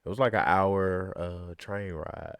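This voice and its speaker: A man from Georgia, a former soldier chatting as an interview guest, rambling with many fillers and pauses. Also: low voice, fairly even intonation, fairly bright tone, clear, resonant tone, no echo. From Georgia, USA. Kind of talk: casual interview chat.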